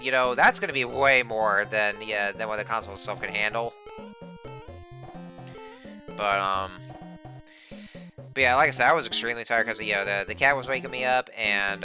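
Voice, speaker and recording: A hoarse young man giving casual, rambling commentary while playing a video game, full of fillers and restarts. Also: medium pitch. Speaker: gameplay commentator. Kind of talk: casual let's play commentary. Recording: game music playing behind the voice.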